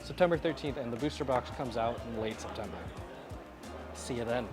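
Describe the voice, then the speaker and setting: A man's voice: medium pitch, fairly quick, a crowd in the background. Laid-back guy vlogging over music. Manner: chatty, laid-back, laughing easily. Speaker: card-collecting vlogger. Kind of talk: casual vlog talk to camera.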